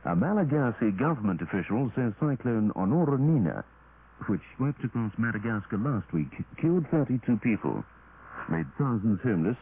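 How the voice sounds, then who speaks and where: A man reading a formal scripted news bulletin with measured enunciation, on a 1980s shortwave radio broadcast. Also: mid-range voice, sing-song. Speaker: radio newsreader. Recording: tinny, noisy 1980s shortwave radio recording.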